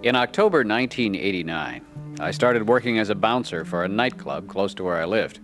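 A man's voice: fairly low pitch, a little fast, over music, close to an everyday voice. An actor playing an earnest, insecure man struggling with addiction in a radio drama, sometimes hesitant and defensive. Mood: reflective narration. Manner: hesitant, stammering in dialogue. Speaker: lead actor and narrator. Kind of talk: radio drama acting and first-person narration.

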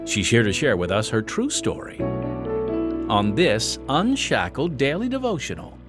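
A man reading a scripted radio devotional in an earnest, measured preaching manner, with scripture. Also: medium pitch, huge pitch swings. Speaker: devotional host and narrator. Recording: music bed behind the voice.

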